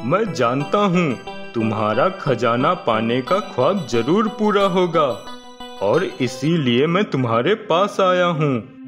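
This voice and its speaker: A man's voice: fairly high pitch, animated, slow pace, over music. A man voicing a scheming, falsely kind village landlord with a patronizing, coaxing manner in a cartoon. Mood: persuasive. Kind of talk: Hindi moral-story cartoon dub.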